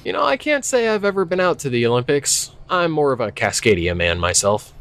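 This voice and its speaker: A man's voice: a little high, big pitch swings, fairly quick, a bit bright. A man acting as an affable investigator in casual, good-humored audio drama dialogue. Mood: friendly, amused. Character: affable male investigator interviewing a witness.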